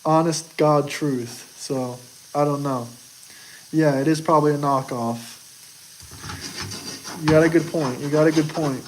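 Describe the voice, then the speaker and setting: Young man, from the US, higher-pitched, boastful, defensive, casual stream talk. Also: fairly even intonation, unhurried, long pauses, slight background noise, low-quality recording. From United States. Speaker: streamer answering chat. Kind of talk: casual live chat.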